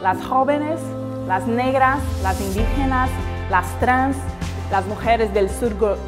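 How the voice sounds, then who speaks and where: Slow, high-voiced Brazilian woman in a hall. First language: Portuguese. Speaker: city councillor, interviewee. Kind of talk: interview answers. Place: large bright indoor hall. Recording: echoey hall, music behind.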